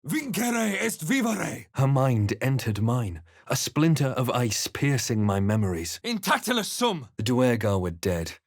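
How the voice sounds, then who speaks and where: Brooding fantasy adventurer, animated pitch. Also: male voice, no echo, clean studio sound. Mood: intense incantation. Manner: measured, dramatic, literary delivery. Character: male fantasy adventurer hero, brooding, wry, haunted. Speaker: video game player-character voice actor. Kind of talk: dramatic first-person fantasy game narration and voice lines.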